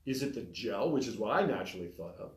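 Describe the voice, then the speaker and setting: A man's voice: lowish voice, fast talker, echoey room. A man co-hosting a talk show, chatting casually and reading through a list of topics. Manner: lively, reads through a list. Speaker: podcast co-host. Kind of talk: casual chat. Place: small studio.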